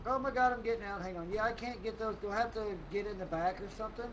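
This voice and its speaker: High-voiced excitable male gamer. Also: fast talker, highs cut off, a little hiss or hum. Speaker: gamer on a live stream. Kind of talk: casual excitable gaming chat.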